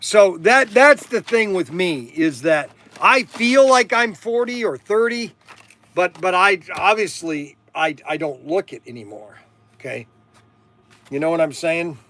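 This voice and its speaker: A man in his sixties chats casually as the host of a livestream. His voice sits a little high for a man and he takes his time, speaking slowly. He rambles in a halting way, circling back over phrases and stumbling on words. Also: expressive, fairly bright tone. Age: 60s. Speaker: livestream host selling hobby toys and model cars.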